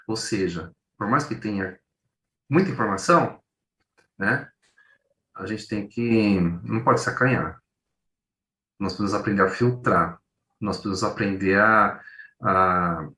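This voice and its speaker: A man's voice: fairly low pitch, bright, forceful tone, distant mic. A Brazilian man with a São Paulo accent giving an informal academic presentation, conversational and often repeating his words as he explains. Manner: conversational, repeats words, illustrates with acted-out everyday examples. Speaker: co-presenter, journalist. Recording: roomy echo.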